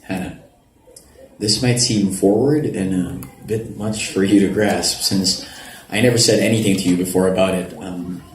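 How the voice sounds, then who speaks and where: A man plays a reserved young college athlete from a romance novel. His voice sits on the low side and his delivery is somber and hesitant. It is heard in a roomy live space with background noise. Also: normal speed, lightly acted. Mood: earnest, hesitant. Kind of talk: dramatic reading of a novel.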